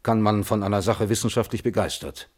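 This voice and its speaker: A man acting as a thoughtful, scrupulous scientist in a German radio play, testifying in a measured, carefully worded way. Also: lowish voice, steady tone, a little mellow, lightly acted. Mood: reflective. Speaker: witness under questioning.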